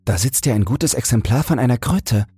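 A man playing a cheerful young male student who banters in a lively, educated way in a storybook. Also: medium pitch, brisk, husky, voice pushed up. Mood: amused.

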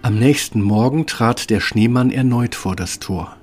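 A man's voice: mid-range voice, a little slow. A man narrating a scripted fairy tale as the storyteller of an audio play. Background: instrumental music.

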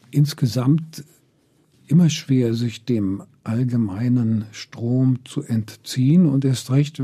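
An older man who grew up in Israel, answering interview questions in a slow, deliberate way with frequent hesitations. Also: mid-range voice, mellow, breathy edge. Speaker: guest.

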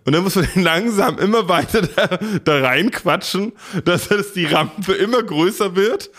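Male podcast co-host, higher voice, sardonic anecdote-teller. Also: expressive, moderate pace, fairly bright tone. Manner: ironic, amused storytelling. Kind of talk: casual chat, anecdote.

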